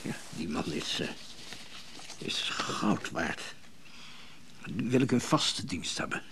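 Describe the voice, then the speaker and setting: A man's voice: lowish voice, expressive, slow pace, fairly bright tone, slight background noise. An actor playing a coaxing, menacing man who believes anyone can be bought, in an intimate radio drama. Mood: coolly menacing. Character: smooth-talking, menacing suitor-like buyer of people.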